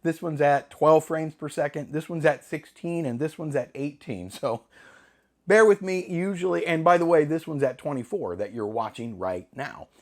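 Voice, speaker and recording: A middle-aged man with a slightly hoarse voice, chatting casually to camera in a rambling, self-deprecating way with jokey asides. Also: a little high, normal speed. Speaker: film hobbyist channel host.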